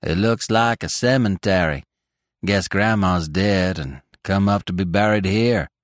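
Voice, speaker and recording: A man's voice: low voice, a little slow, no room sound, studio quality, pitched below the performer's own voice. A man voicing a solemn, gloomy little farm boy with rustic speech, as a storybook character.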